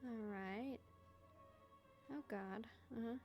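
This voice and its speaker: A woman's voice: a little high, slow pace, darkish tone. Slangy woman game streamer over faint music. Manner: slangy, exclamatory. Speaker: streamer playing a story game. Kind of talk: casual gaming commentary, reading prompts aloud.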